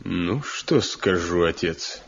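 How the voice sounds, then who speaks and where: A man's voice: deep voice, expressive, takes it slowly, creaky, exaggerated voice. An actor playing a proud, stubborn, brooding master craftsman in a radio drama, with a declamatory, scornful manner. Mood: guarded. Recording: muffled old radio play recording.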